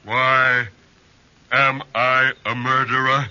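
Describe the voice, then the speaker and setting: A man playing a lonely, pleading, hideous man-made giant in old-time radio horror, speaking in halting, deliberate phrases. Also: mid-range voice, level tone, sharp tone. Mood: pleading, wounded. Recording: dull old radio broadcast recording.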